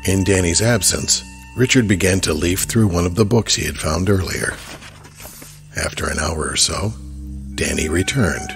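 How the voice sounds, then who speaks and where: A man narrating a horror audio drama in a measured, even storytelling voice. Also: low voice, creaky, over music.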